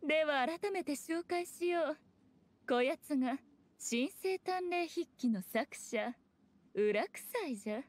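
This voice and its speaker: A woman's voice: high voice, expressive, takes it slowly, long pauses. A woman playing a sly, elegant fox shrine maiden in a video game, with composed, archaic noble diction. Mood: composed, explaining. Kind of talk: Japanese video game acting.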